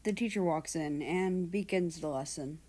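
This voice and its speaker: A woman narrating fiction as an amateur reader, expressive and unhurried, acting out dialogue as she goes. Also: sits low.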